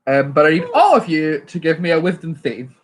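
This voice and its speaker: A man running a tabletop game as game master, loud and chatty with frequent laughs. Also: fairly high pitch, sing-song, brisk.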